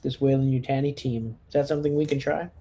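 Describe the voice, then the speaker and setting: A man's voice: mid-range voice, steady tone, quick pace, mellow. A man talking casually and a little tentatively as a player in a tabletop game.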